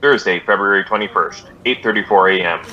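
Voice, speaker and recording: A man's voice: lowish voice, brisk, muffled recording, lightly acted. An American man playing a terse, businesslike police detective in a clipped, matter-of-fact 1950s radio drama style. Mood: flat, official. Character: terse, businesslike male police detective, narrating his case.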